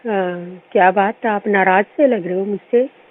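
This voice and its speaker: A woman's voice: mid-range voice, a little slow. A woman speaking politely and hesitantly, with an apologetic, coy manner in a phone conversation. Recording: band-limited phone call audio.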